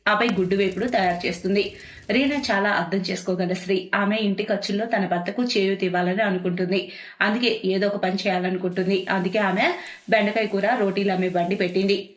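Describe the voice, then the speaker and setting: A woman's voice: mid-range voice, steady tone, fairly quick, slight background noise. Woman storyteller with a roomy echo. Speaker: narrator. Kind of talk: scripted storytelling narration.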